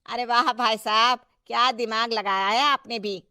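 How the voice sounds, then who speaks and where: A woman playing deferential, flattering townsfolk in a cartoon moral story, with an eager, obsequious manner. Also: fairly high pitch, normal speed, clear, resonant tone, no echo, clean studio sound.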